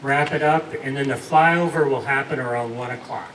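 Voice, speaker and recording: A man's voice: medium pitch, normal speed. A man making loose, rambling announcements about the schedule of an outdoor ceremony. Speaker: event organizer making announcements. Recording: echoey, distant, noisy.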